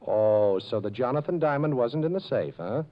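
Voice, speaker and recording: A man acting a smooth, cheeky sleuth in a 1940s radio crime drama, wisecracking and unhurried. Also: medium pitch, lively intonation, lightly acted. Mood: cool, commanding. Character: smooth, cheeky adult male sleuth, cool under pressure. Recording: muffled, noisy old radio recording.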